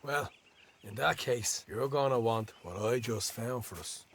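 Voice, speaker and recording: An actor playing a chatty, roguish young Irish outlaw in a Western video game, teasing and folksy, with an Irish accent. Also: male voice, medium pitch, measured pace, fairly bright tone, a little hiss or hum. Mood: boastful, chatty. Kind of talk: video game cutscene acting.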